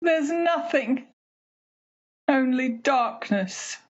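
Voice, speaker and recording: A woman's voice: high-pitched, lively intonation, drawn-out words, slight background noise, full character voice. Muffled, wildly swooping grief-maddened mother acted by a woman. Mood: desolate. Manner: intense, mournful, insistent. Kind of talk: amateur radio play acting.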